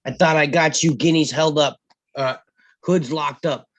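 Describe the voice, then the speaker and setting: An actor at a table read playing a gruff, bullying police captain who blusters and barks orders. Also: male voice, a little high, fairly even intonation, a little slow, no echo, highs cut off. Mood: angry, bullying. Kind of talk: live table read of a film script.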